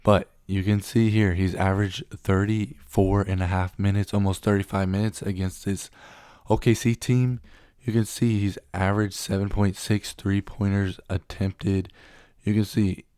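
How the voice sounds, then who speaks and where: A man's voice: sits low, darkish tone, clear, resonant tone, no echo, studio quality. A young man getting over a cold, casually running through sports betting picks and reading stats off the screen with a few ums.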